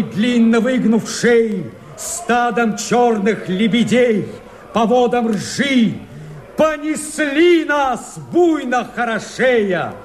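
An actor playing a fiery, defiant rebel Cossack, declaiming verse in a strained, ringing, oratorical voice for a radio drama. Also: male voice, extremely high pitch, noisy background. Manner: impassioned, oratorical, drawn-out. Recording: crowd clamour and music behind voice. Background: shouting crowd, music.

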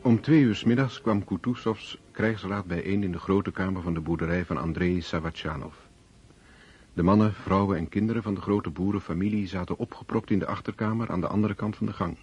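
Deep voiced guy narrating a radio play, dark and really husky, literary storytelling. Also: brisk, lo-fi sound.

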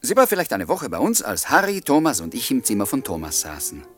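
An actor playing a courteous, pensive flat-sharer who narrates his memories in a German radio play, polite and measured, with a husky voice. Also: medium pitch, soft background music. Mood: pensive, concerned.

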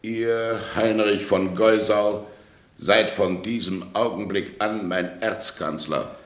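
An actor in a German radio play as a proud, decisive prince-elector, imperious and formal. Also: male voice, lowish voice, fairly even intonation, takes it slowly. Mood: commanding. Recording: echoey room.

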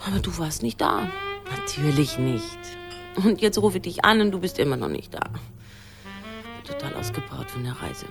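A woman's voice: fairly low pitch, wildly swooping pitch, moderate pace, vocal fry, heavily acted. Worn out wry girlfriend on the phone, swingy intonation, music in back, radio play. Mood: weary, wry. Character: weary, wry girlfriend in long-distance romance.

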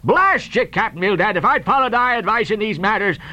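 A man's voice: high voice, big pitch swings, normal speed, fairly bright tone, no room sound, lo-fi sound, voice pushed up. A man voicing a hot-tempered old sea captain who blusters in exclamatory, archaic speech. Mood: furious, roaring.

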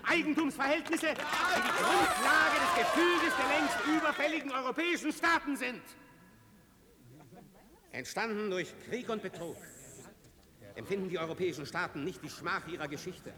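Radio play revolutionary: impassioned declamation, very high raised voice, big reverberant hall. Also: male voice, moderate pace. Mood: fervent, declamatory. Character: impassioned male revolutionary orator, fervent and solemn. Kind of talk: political oratory in a radio drama. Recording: long hall reverb, crowd behind.

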